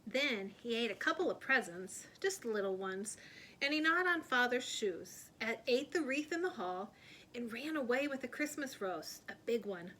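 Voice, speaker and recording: A woman reads a picture book aloud in a bright, fairly high voice. Her storytelling is animated and expressive, as if for young children. A faint noise sits behind her. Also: moderate pace. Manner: animated, putting on character voices. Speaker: read-aloud storyteller, teacher.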